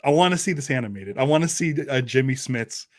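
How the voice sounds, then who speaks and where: A man's voice: mid-range voice, lively intonation, fairly quick. A man talking casually about pop culture as part of a podcast discussion. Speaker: podcast panelist.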